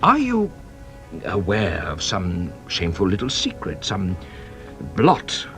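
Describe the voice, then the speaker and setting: An actor playing a shrewd, playful French police inspector in a British radio drama, with a French accent and a teasing, theatrical delivery. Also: male voice, low-pitched, wildly swooping pitch, slow, a bit bright, soft background music, full character voice. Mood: brisk, probing.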